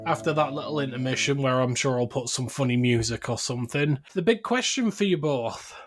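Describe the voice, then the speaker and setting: A man speaks as a podcast host in a casual discussion. His voice sits at an ordinary middle pitch, and he talks in a loose, conversational way. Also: fairly quick, faint music behind.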